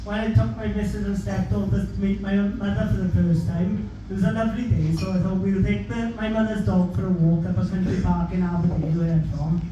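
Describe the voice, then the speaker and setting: Sweary Welsh comic in an echoey hall. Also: male voice, high voice, brisk, hardly any pauses, dull audio. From Wales. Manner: sweary, deadpan storytelling. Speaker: stand-up comedian. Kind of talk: stand-up comedy set. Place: theatre stage with audience. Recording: echoey hall from far off, audience noise.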